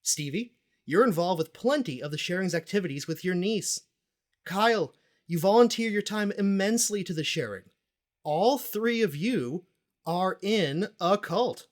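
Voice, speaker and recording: An actor playing a self-important cult expert and investigator in an audio drama, speaking in a pompous, dramatic way with deliberate pauses. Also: medium pitch, expressive, lightly acted. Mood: grave, dramatic. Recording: music playing under the voice.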